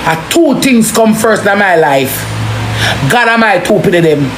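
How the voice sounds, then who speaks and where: A Jamaican woman delivers a forceful, impassioned rant in casual street talk. She curses heavily and hammers phrases by repeating them. The recording is echoey, with clear noise behind her voice. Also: medium pitch, expressive, unhurried. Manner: forceful, heavy cursing, repeats phrases for emphasis. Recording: roomy echo, clearly noisy background.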